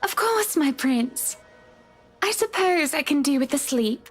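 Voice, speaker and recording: High-voiced, seductive wife. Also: female voice, expressive, a little slow, faint music behind. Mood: pouting, wistful. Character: seductive, pouting, queenly wife who beckons her husband. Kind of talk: visual novel game dialogue acting.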